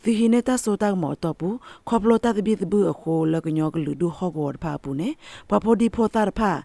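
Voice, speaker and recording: A woman's voice: mid-range voice, animated, a little fast, gravelly, warm tone, no echo. A woman reading scripted news for radio, as a presenter in a studio.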